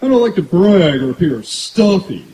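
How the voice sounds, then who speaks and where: A man's voice: high-pitched, sing-song, moderate pace, mellow. A man playing a polite, genteel, self-satisfied young heir in an old-time radio comedy, performed live outdoors. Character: polite, stuffy, well-bred young male heir. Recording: echoey outdoor stage, faint noise.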